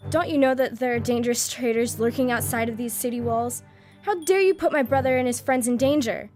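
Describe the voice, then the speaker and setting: An actress playing a bold, quick-witted young woman of an ancient city in an audio drama. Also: on the high side, darkish tone, faint music behind, natural voice. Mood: scolding. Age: young adult.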